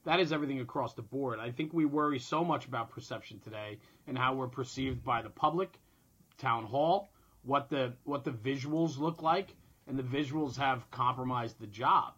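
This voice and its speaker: A man with a husky, unpolished voice of average pitch hosts a conversational podcast. He speaks emphatically, building points through repetition and checking in with short tag questions. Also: moderate pace, fairly bright tone, muffled recording. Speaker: podcast host in the fire service.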